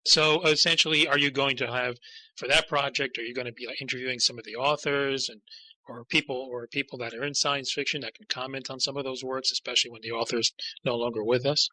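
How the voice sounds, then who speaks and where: Male podcast interviewer, conversational, sharp bright tone, close dry recording. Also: medium pitch, steady tone, quick pace, no room sound, rough recording. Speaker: podcast host interviewing a guest.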